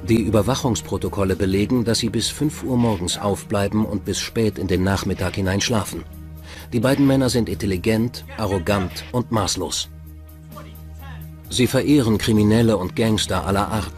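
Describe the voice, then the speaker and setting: Low-voiced male true-crime narrator over music. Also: normal speed, darkish tone. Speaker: documentary narrator. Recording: music bed behind narration.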